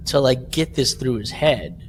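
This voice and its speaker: A man co-hosting a podcast in casual chat, retelling things in an animated way and often checking for agreement. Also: medium pitch, a little slow, background noise. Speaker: podcast co-host.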